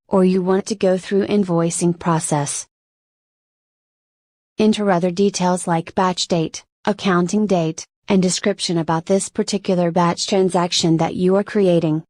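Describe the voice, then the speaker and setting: A woman reading scripted instructional narration for a software tutorial in a measured, step-by-step manner. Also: on the low side, no echo.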